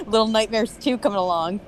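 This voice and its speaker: A woman's voice: on the high side, animated, normal speed. A young adult woman talking casually about games as a co-host. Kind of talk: casual gaming chat.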